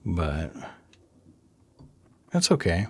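A man hosting an art channel, narrating his drawing in a casual voice-over. He sounds under the weather and worn out, with a raspy voice and a rambling, soft-spoken manner. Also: deep voice, very animated, drawn-out words. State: under the weather, low energy.

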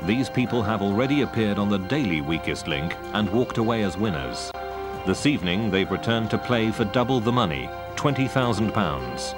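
A man's voice: lowish voice, expressive, normal speed. A man reading a scripted television voice-over as programme announcer. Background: loud theme music.

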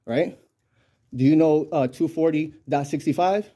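A man's voice: on the high side, a little slow, dark tone, slight background noise. A man arguing with officials in an insistent, repetitive way, recorded on a handheld camera in an indoor office. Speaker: citizen journalist filming an audit. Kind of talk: argumentative confrontation with officials. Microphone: handheld camera held by the speaker.

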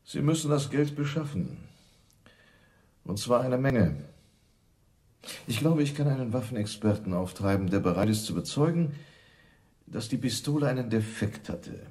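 An actor playing a cool, unscrupulous defence lawyer with a calculating manner, in radio crime drama style. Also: male voice, medium pitch, expressive, normal speed, long pauses, mellow, close to an everyday voice.

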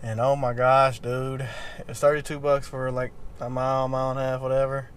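A man's voice: medium pitch, monotone, brisk, smooth. A young man, talking casually to the camera from his car, rambling and chuckling as he goes. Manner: laid-back, rambling, chuckles often, says "bro". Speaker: delivery driver vlogger. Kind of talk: casual vlog talk to camera. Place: parked car, inside. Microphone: dashboard camera. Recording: car and street noise behind.

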